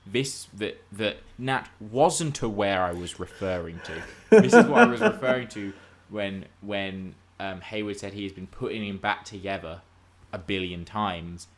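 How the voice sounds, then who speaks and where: A man's voice: lowish voice, animated, a little slow, hardly any pauses. A man hosting a podcast, chatting casually about pop culture in an opinionated, theatrical way. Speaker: podcast host.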